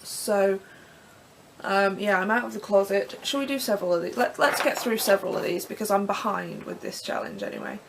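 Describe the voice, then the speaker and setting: A young Englishwoman with a croaky throat, rambling casually through vlog questions from her bedroom with plenty of ums and restarts. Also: mid-range voice, a little fast, noisy background. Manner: chatty, self-deprecating, many ums and restarts. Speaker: pagan vlogger. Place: bedroom in front of her altar.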